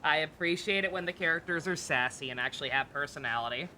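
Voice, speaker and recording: A woman's voice: low voice, fast talker, bright, forceful tone, slight background noise, low-quality recording. A woman streaming a game with casual live commentary, teasing and wisecracking in a sarcastic way.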